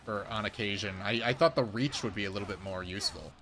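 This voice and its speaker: A young man rambling through casual gameplay commentary as a game streamer, swearing when frustrated, his voice going hoarse. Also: lowish voice, fast, a bit bright, slight background noise. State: losing his voice.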